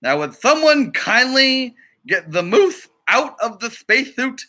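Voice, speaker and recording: Guy doing a bossy storybook movie director, fed up and indignant, high swoopy voice. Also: sing-song, measured pace, pushed and bright, full character voice. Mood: exasperated. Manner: indignant, emphatic. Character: exasperated, bossy film director shouting at his cast.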